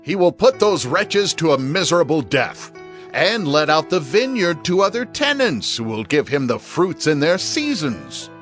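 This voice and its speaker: An actor playing an indignant religious elder passing harsh judgment in a dramatized scripture recording. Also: male voice, a little high, sing-song, measured pace, fairly bright tone, faint music behind.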